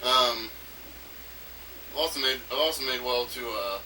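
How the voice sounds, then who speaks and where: A man giving an unscripted, rambling monologue as an amateur audio log, haltingly, repeating himself and trailing off mid-phrase. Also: medium pitch, sharp tone. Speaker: amateur game designer recording an audio log. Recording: noisy amateur recording, distant from mic.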